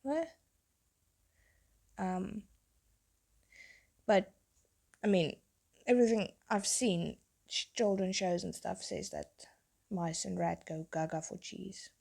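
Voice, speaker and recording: A young woman, a tattoo artist, chatting in a rambling way while she draws, her voice hoarse from being sick. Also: mid-range voice, takes it slowly, long pauses, darkish tone. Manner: rambling, chatty, self-interrupting. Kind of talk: casual chat while drawing.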